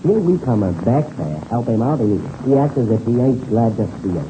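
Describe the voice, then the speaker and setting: An actor playing a folksy, grumbling American airplane mechanic who stammers and repeats words in slangy 1930s radio-serial style. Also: male voice, medium pitch, animated, a little fast, lightly acted. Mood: grumbling, suspicious. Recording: hissy old-time radio recording.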